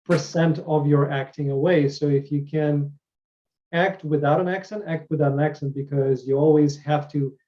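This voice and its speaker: A man's voice: fairly high pitch, steady tone, normal speed, warm tone. A man hosting an interview, asking thoughtful questions and offering brief affirmations. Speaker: interview host. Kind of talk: casual interview chat. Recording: dull lo-fi sound.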